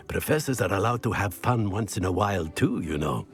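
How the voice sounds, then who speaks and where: A man's voice: fairly low pitch, expressive, normal speed, creaky, faint music behind. A man playing a jovial, playful older wizard professor in a video game, teasing as he talks. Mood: playful, cheerful. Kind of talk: scripted video game dialogue.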